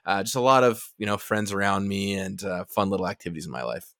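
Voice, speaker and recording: Chatty thirties man, low dry voice. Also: quick pace, a bit bright, no echo. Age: 30s. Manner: chatty, jokey storyteller. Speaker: podcast guest. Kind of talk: casual chat. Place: Seattle.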